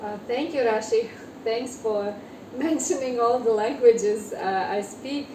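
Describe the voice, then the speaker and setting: Ukrainian woman guest, higher voice, lots of ums, sounds far off in an echoey room w/ background noise. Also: slow pace, dark tone. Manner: hesitant, many fillers. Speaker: guest author. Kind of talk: interview conversation. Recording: echoey room, distant mic, noisy.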